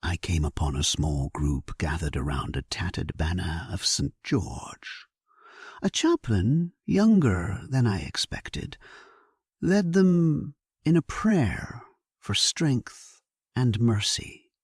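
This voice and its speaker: A man playing a solemn, resolute English warrior king, narrating his battle in a measured, stately way for an audio drama. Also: lowish voice, sing-song, breathy edge, dry recording, clean studio sound, exaggerated voice. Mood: solemn, reflective. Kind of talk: dramatic scripted first-person narration, sleep story.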